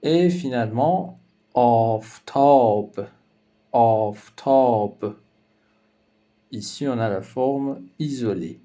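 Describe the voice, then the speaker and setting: Slow, mellow-voiced male teacher. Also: mid-range voice. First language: Persian. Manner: slow, careful, explanatory delivery with hesitation fillers. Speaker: language teacher. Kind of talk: language lesson narration.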